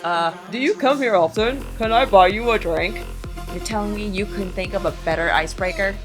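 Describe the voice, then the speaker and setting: A woman's voice: mid-range voice, a little fast, over music, close to an everyday voice. A woman playing a tough, sardonic female bounty hunter in an audio drama, blunt and teasing. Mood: mocking, flirty.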